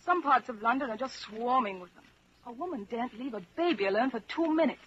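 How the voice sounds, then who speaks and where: An actress playing a bold, affectionate young woman and secret lover in a 1940s radio drama, tender and lively. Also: on the high side, fast, slightly rough. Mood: brisk disgust. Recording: muffled, noisy 1940s radio recording.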